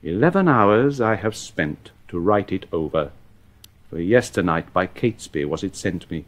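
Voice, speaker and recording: An actor playing a sardonic, troubled scribe, delivering a deliberate, rueful Shakespearean soliloquy for radio drama. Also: male voice, low voice, expressive, fairly bright tone. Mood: wry, troubled.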